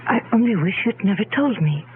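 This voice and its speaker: A woman's voice: mid-range voice, lively intonation, fairly quick, natural voice. An actress playing a devoted, worried woman in love in a 1970s radio drama. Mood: worried, tender. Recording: narrow, hissy vintage radio recording.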